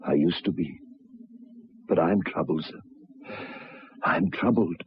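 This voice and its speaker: An actor playing a troubled, educated railway signalman in an old radio drama, speaking haltingly and repeating his words. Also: deep voice, a little hiss or hum. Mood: troubled, hesitant. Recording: tinny, band-limited old radio recording.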